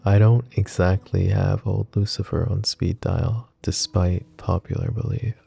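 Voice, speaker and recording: A brooding male mafia boss in an ASMR roleplay, speaking low, slow and gravelly with a dark, mellow tone. He is soft-spoken and teasing, close to the mic, with faint guitar music behind him. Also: steady tone, full character voice. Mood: tender. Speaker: voice actor.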